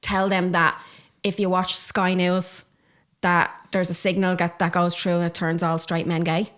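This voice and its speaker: A woman with a higher, girly voice, co-hosting a podcast in cheeky, rambling, swearing chat. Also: level tone, measured pace, a bit bright. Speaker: podcast co-host.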